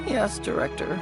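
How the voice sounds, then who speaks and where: A man's voice: very high-pitched, exaggerated voice. A man voicing a meek, doubtful funeral parlor assistant in a video game, hesitant and deferential.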